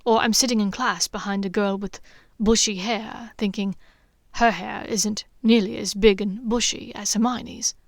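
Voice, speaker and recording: A woman voicing a playful, affectionate young man who teases in a low, intimate tone. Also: fairly even intonation, unhurried, no room sound, clean studio sound, close to an everyday voice. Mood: teasing. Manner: teasing, low and intimate, sometimes half-whispered.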